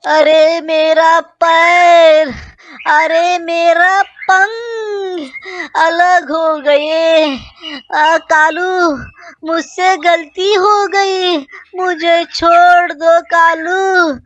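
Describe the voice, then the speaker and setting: A woman voicing a bullying male owl with drawn-out, theatrical delivery for a children's cartoon. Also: very high-pitched, steady tone, a bit bright, no echo, muffled recording, exaggerated voice. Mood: agonized begging. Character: bullying male owl, menacing, then whining. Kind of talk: children's cartoon story acting.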